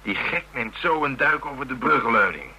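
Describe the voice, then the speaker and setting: An actor playing a gruff, sardonic policeman in a radio drama, talking colloquially. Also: medium pitch, animated, moderate pace, sharp tone. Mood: sardonic, agitated. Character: gruff, grudging Amsterdam policeman nicknamed the Dragon, shaken, sardonic. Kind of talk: Dutch radio drama dialogue. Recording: hummy, noisy old radio recording.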